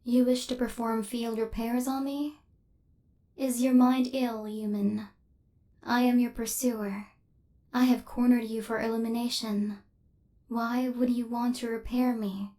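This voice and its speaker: A high-pitched female killer robot, acted by a woman for an ASMR roleplay. She is cold and superior, phrasing everything in stiff, clinical terms, with a fluster she keeps under wraps. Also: level tone, measured pace, warm tone, close to an everyday voice. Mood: incredulous, stern.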